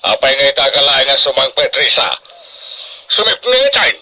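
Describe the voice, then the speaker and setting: A man's voice: mid-range voice, big pitch swings, moderate pace, pushed and bright, full character voice. A man playing a strict, worrying middle-aged father in an Ilocano radio drama, with an emphatic, scolding manner. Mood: stern, scolding. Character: strict, worrying Filipino father of a student daughter.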